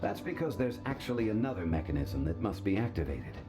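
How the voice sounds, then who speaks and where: A dignified scholar of ancient lore from a fantasy game. His low voice moves with rich inflection, formal and solemn, measured in its phrasing, with music playing clearly behind him. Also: very animated. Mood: calm, knowing. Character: calm, dignified, learned gentleman versed in ancient lore. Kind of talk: voice acting for a fantasy video game.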